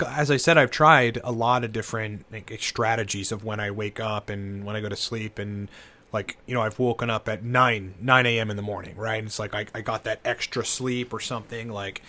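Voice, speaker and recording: A man in his late thirties, talking off the cuff in a loose, rambling monologue. His pitch sits in the middle range for a man. He peppers every thought with "you know" and "like" and swears casually as he goes. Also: sharp tone. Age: 30s. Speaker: channel host, entrepreneur. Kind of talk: casual unscripted monologue to camera.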